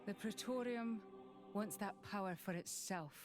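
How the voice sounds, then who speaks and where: Cool-headed female officer, played by an actress for a game: composed, stern, medium pitch, music clearly behind. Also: measured pace, close to an everyday voice. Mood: cool, matter-of-fact. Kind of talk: video game cutscene acting.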